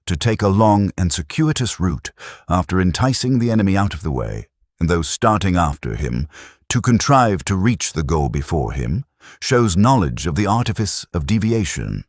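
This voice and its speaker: Very deep, husky male audiobook narrator. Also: no echo, clean studio sound.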